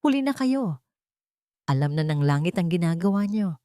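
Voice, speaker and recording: A woman's voice: fairly low pitch, big pitch swings, moderate pace, dark tone, no echo. A humble household nurse from an audio drama, played by a woman narrator. She sounds deferential and strained, with bravery underneath, in a dry recording. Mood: defiant. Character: meek but brave young female servant nurse. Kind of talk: Filipino audio drama acting.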